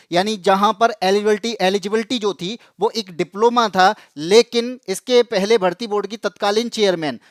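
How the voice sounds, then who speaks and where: A man's voice: high voice, dry recording, clean studio sound. A man presenting an explanatory news update to students, emphatic and repeating phrases for stress. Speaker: exam coaching channel presenter.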